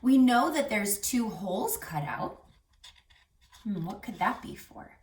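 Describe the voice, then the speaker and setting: Echoey, animated woman reading to children. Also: mid-range voice, unhurried, slight background noise. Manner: expressive, teacherly, explains words and asks questions. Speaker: reading teacher. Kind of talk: children's read-aloud with questions to young listeners. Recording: echoey room, distant mic, music.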